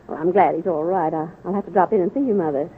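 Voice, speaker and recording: An actress playing a kindly, busy young visiting nurse in a 1930s radio drama. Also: female voice, lowish voice, brisk, slight background noise, close to an everyday voice. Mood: kindly, brisk. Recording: tinny 1930s radio recording.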